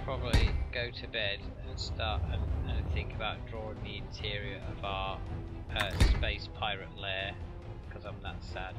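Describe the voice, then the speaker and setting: A man's voice: on the low side, flat delivery, normal speed. A man streaming a game, chatting casually and swearing, often trailing off mid-sentence. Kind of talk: casual chat while gaming. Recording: dull lo-fi stream audio with loud game music. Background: loud game music.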